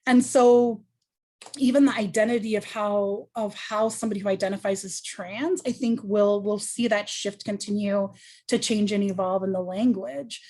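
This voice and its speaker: A woman's voice: mid-range voice, steady tone, normal speed, a little mellow. Earnest woman panelist, thinking aloud. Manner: earnest, thoughtful, many ums and restarts. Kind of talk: panel discussion answer.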